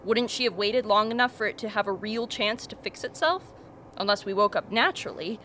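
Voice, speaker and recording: A woman playing a calm, thoughtful, dry expedition member in a radio play, speaking in a measured way. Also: medium pitch, lightly acted. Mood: pondering. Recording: faint background noise.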